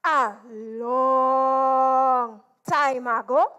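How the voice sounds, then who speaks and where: A woman's voice: high voice, steady tone, pushed and bright, clear, resonant tone, lo-fi sound. A woman with a Trinidadian accent performing a folk tale as a dramatic storyteller, drawing words out theatrically and repeating them. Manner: theatrical, drawn-out delivery with repetition. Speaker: storyteller performing at a literature competition.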